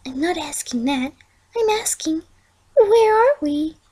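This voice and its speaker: A woman's voice: extremely high pitch, animated, slow, mellow, voice pushed up, heavily acted. A woman voicing a timid, frightened young female pony in a fan story, with a meek, whispery, faltering voice. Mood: scared, meek.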